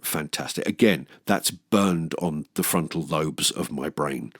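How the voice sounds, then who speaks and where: A man's voice: a bass voice, unhurried, dry recording, studio quality. A British man hosting a film review channel, narrating a scripted video essay in an enthusiastic, wry, playfully sweary manner.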